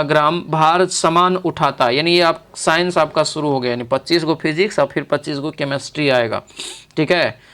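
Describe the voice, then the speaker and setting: Male tutor from India, smooth crisp voice on the higher side, informal quiz walkthrough with repeated key phrases. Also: a bit bright. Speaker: exam-prep teacher. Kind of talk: instructional quiz walkthrough, reading questions and explaining answers.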